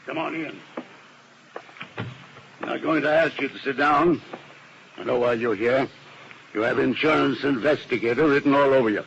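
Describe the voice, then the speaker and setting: An American actor playing a gruff, domineering male businessman in a 1950s radio drama, curt and imperious. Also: medium pitch, normal speed, pauses often, fairly bright tone, lo-fi sound. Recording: old radio recording, faint noise.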